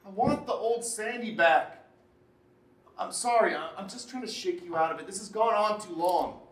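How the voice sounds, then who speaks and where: A man's voice: high voice, moderate pace, fairly bright tone. A man plays a needling husband in a naturalistic drama. His delivery is emphatic and self-absorbed. He is slightly distant from the mic in a roomy space, with some background noise. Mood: heated. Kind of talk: naturalistic relationship drama acting.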